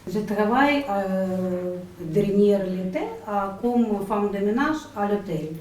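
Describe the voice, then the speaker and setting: Woman, Ukrainian accent, halting second-language speech, mellow, slightly distant. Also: medium pitch. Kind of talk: halting personal account. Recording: echoey room, off mic, faint noise.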